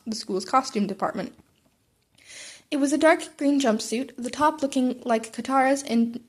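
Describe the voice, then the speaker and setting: Woman, higher-pitched voice, amateur podfic narrator, informal story reading, occasional stumbles. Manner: informal, stumbles and rereads words. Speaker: podfic narrator reading fan fiction aloud.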